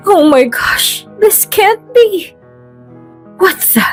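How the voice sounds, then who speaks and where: A woman's voice: extremely high pitch, lively intonation, extremely slow, faint music behind, voice pushed up, heavily acted. A woman voicing a naive, emotional young bride in a dramatized audio story, exclaiming dramatically. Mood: shocked, panicked.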